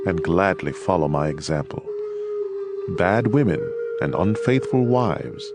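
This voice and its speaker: A man's voice: low voice, lively intonation, measured pace. An actor playing a fatherly teacher counseling his children in a dramatized, instructive scripture reading. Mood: earnest. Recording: music playing behind the voice. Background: instrumental music.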